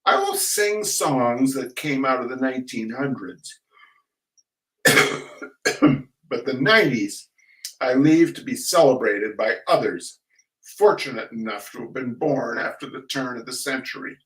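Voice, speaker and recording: Slow-reading man in an echoey room. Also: mid-range voice, expressive, fairly bright tone. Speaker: reader of humorous essays. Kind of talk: dramatic reading of comic essays aloud. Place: echoey room, recorded at a distance from the mic. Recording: echoey room, distant microphone.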